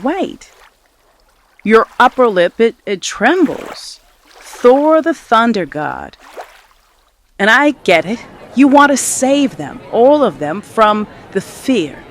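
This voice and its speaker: Slow, knowing siren of the sea voiced by a woman. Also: fairly high pitch, sing-song. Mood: knowing, piercing. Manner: deliberate, probing, theatrical. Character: alluring, knowing, challenging female siren of the sea. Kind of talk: mythic fiction audio drama acting.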